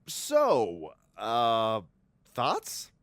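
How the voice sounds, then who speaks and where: A man's voice: medium pitch, wildly swooping pitch, drawn-out words, fairly bright tone, dry recording, exaggerated voice. A man playing an eager, slightly awkward sports broadcaster and interview co-host in a wrestling game cutscene. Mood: apologetic.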